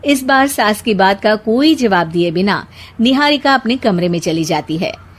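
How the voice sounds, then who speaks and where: A woman narrating a cartoon story in a steady, matter-of-fact scripted style. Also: mid-range voice, normal speed.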